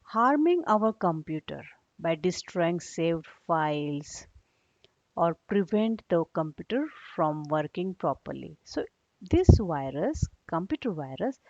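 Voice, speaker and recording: A woman's voice: fairly low pitch, very animated, slow pace, darkish tone, no room sound, highs cut off. A woman teaches a children's lesson, reading aloud and explaining in simple, repeated phrases with frequent checking questions.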